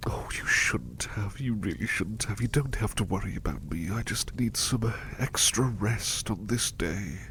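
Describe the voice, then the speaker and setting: Man playing a gentle, low-voiced devoted butler. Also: animated, normal speed, few pauses, slight background noise. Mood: grateful, under the weather. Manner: hushed, breathy, hesitant, polite, tender. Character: gentle, devoted male butler, doting and apologetic. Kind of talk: ASMR roleplay, soft personal attention.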